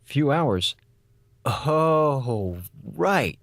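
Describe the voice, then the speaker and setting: An actor playing a flippant, sarcastic slacker communications officer on a space station in an audio drama. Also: male voice, medium pitch, sing-song, extremely slow, gravelly, a bit bright, no echo, exaggerated voice. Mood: feigned earnestness.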